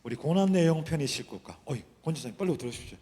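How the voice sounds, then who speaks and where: Hoarse soft-spoken man leading worship. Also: fairly high pitch, expressive, racing, in a large hall. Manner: soft-spoken, gently encouraging. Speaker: worship leader. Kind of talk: leading congregational praise. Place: church sanctuary. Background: worship band music.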